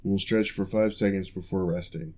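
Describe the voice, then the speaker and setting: A composed male orc, once a general and now a physical therapist, as a man plays him in an ASMR roleplay. His voice sits a little low and mellow. He speaks in a measured, formal, reassuring way. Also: fairly even intonation, lightly acted.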